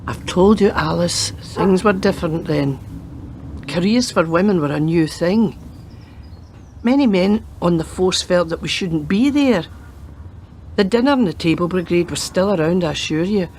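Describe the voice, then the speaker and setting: A woman's voice: lowish voice, animated, normal speed, darkish tone, a little hiss or hum. A woman playing a pragmatic retired policewoman and grandmother in a radio play, giving matter-of-fact, emphatic advice. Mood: firm, reminiscing.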